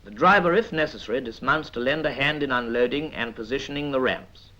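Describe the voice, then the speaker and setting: A British man reads scripted 1950s military training film narration in a measured, instructive manner with dry asides. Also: mid-range voice, bright, forceful tone. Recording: dull vintage 1950s film soundtrack.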